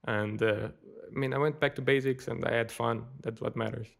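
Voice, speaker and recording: A man with a Portuguese accent talks in a loose, informal way, as a guest on a podcast would. His English is conversational and animated when he gets onto things he enjoys. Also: mid-range voice, fairly quick, vocal fry. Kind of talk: casual chat.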